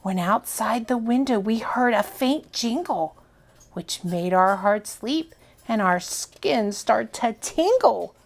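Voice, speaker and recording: A woman reading a children's picture book aloud as a storytime reader, with expressive, deliberate, dramatic phrasing. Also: on the high side.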